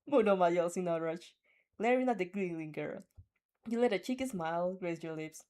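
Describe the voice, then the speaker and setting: A woman reading fan fiction in an animated storytelling style, sometimes stumbling over words and stepping out of the script. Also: fairly low pitch, moderate pace. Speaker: fan fiction reader and dubber. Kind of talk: expressive storytelling with off-script asides.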